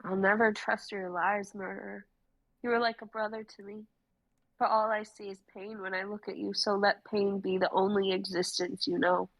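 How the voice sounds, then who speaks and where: A young woman playing a bitter, vengeful former friend in a fantasy drama reading, cold and measured. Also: mid-range voice, level tone, fairly bright tone, low-quality recording. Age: young adult.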